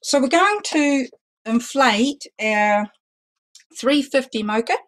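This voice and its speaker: A woman teaching a live balloon-twisting lesson, talking loudly and chattily with some self-deprecating humor. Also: high-pitched, expressive, takes it slowly, slight background noise. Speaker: balloon artist teaching a workshop. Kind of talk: live online demonstration lesson.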